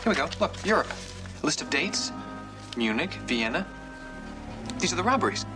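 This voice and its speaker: A young actor playing an earnest, level-headed amateur detective in a 1970s TV drama. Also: male voice, fairly high pitch, sing-song, music in the background. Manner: earnest and brisk.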